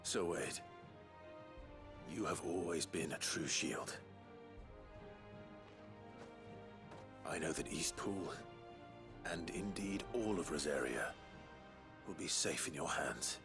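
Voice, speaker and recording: An actor playing a modest, steadfast noble hero in a video game, measured, understated and formal. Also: male voice, extremely low pitch, expressive, exaggerated voice. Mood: sincere, reassuring. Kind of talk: video game character dialogue. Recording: game music behind the voice.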